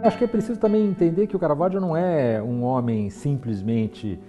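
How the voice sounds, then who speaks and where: A man's voice: on the high side, fairly quick. Man explaining over prominent music. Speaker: art expert interviewee. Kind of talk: explanatory interview talk.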